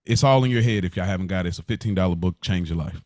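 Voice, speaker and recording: A man's voice: sits low, very fast, darkish tone, no room sound. A man co-hosting a podcast, talking in an informal, slangy, rambling way as he feels out his point. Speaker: podcast co-host. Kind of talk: casual podcast conversation.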